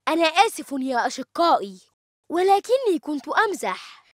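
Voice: sits high, sing-song, normal speed, no room sound, clean studio sound. An actor voicing a cheeky young boy in a cartoon, who teases and mocks his siblings with sarcasm. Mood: subdued. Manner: sarcastic, teasing. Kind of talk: animated cartoon dialogue.

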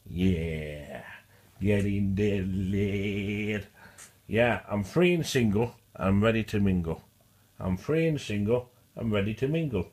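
A man's voice: lowish voice, animated, takes it slowly, a little mellow. A man with a stutter, talking in a rambling, repetitive vlog monologue. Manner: repetitive, restarts and repeats phrases. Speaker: vlogger. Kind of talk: casual rambling monologue to camera.

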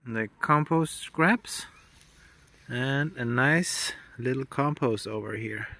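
A man's voice: medium pitch, slow pace, sharp tone. A man in his thirties chatting casually as he vlogs outdoors on a hillside farm, phone held at arm's length, with fillers and restarts. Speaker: vlogger. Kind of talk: casual travel vlog narration.

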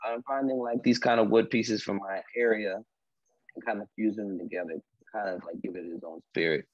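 A young man, a native Haitian Creole speaker, talks haltingly about his art on a video call, with many fillers. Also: lowish voice, steady tone, dry recording. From Washington, D.C. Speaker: artist. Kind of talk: video call artist talk. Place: room at home. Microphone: laptop video call. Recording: dull video call audio.

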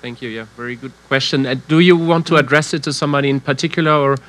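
A man's voice: medium pitch, fast talker, fairly bright tone, no echo. A middle-aged man formally moderating a press conference. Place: indoor press conference stage. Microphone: gooseneck microphone in front of seat.

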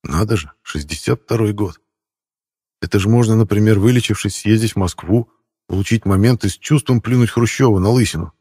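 A man's voice: lowish voice, a little fast, warm tone, slightly rough, no echo, clean studio sound. A man narrating an audiobook in the first person with a wry, ironic storytelling manner.

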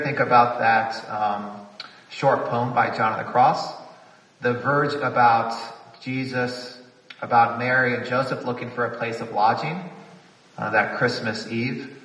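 A man's voice: mid-range voice, a little slow, fairly bright tone, echoey room, in a large hall, far from the mic, poor audio. A man, a priest, preaching a reflective homily at a retreat, repeating phrases for emphasis.

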